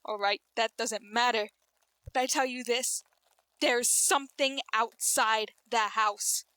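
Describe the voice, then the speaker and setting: An actress playing a bitter, frightened middle-aged wife with an intense, melodramatic delivery in a 1940s style radio drama. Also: female voice, a little high, fairly even intonation, slow, pushed and bright, clear, resonant tone, no echo. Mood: frightened, pleading. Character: bitter, frightened long-suffering wife of a scientist.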